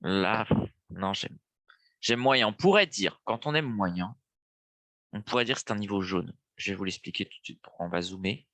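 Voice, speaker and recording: A man's voice: fairly low pitch, lively intonation, normal speed, bright, forceful tone, no echo. A young man with a stuffy nose from a cold, casually walking viewers through a live trading lesson with lots of fillers. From France. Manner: casual, thinking aloud with frequent fillers. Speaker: trading webinar host.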